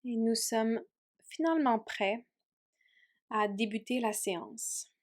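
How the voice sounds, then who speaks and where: Slow, gentle woman guiding meditation. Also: on the high side. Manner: gentle, soothing, with long pauses. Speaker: yoga teacher guiding a relaxation. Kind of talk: guided meditation.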